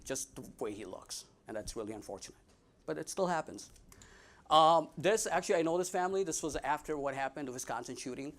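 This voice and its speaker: A young man with a Midwestern American accent gives a friendly talk over slides, his voice sitting a little higher than most men's. He jokes with the audience and keeps stopping to restart his sentences, with plenty of ums and uhs. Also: expressive, fairly quick. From United States. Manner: friendly and joking, many fillers and restarts. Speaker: guest speaker. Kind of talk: casual presentation with slides.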